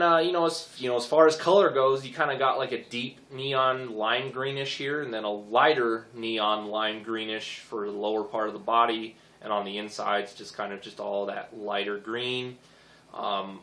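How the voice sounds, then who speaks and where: Young male collector, hoarse, rambling delivery, echoey room. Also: medium pitch, a bit bright. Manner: rambling, many fillers like uh and you know. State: hoarse, losing his voice. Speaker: toy collector reviewing figures. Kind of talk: casual review vlog.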